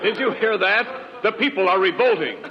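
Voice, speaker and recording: A man's voice: high voice, lively intonation, a little fast. A man announcing and playing a stately king in a declamatory 1940s radio comedy style. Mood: regal, grave. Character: stately king in a comic sketch. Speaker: announcer and supporting player. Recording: echoey, muffled, noisy old radio broadcast.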